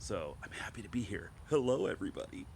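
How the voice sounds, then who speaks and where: A man speaks in a low voice that is slightly stuffed up with a cold. He talks casually to his viewers as a show host, thinking aloud with halting, emphatic phrasing. Faint noise sits behind him. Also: sing-song, vocal fry, darkish tone. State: has a head cold, clearing throat. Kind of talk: casual opinion monologue to viewers.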